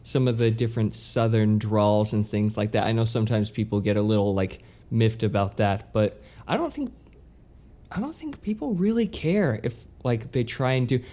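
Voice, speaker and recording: A man's voice: fairly low pitch, normal speed, mellow. A man from the United States co-hosting a podcast, chatting casually and rambling with many restarts. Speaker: podcast co-host. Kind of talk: casual chat.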